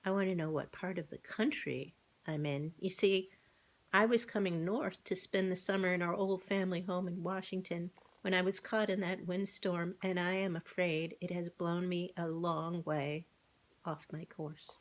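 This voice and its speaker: A woman's voice: fairly low pitch, fairly even intonation, moderate pace, close to an everyday voice. A woman voicing a haughty, polite male woodpecker in a children's storybook, with a prim, pedantic manner. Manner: prim, pedantic, self-important. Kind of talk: children's storybook read-aloud.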